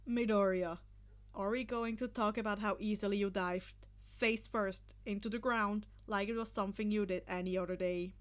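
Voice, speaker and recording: A woman voicing a dry, unimpressed teenage boy, flat and teasing in a lowered voice. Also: moderate pace, close to an everyday voice. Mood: wry.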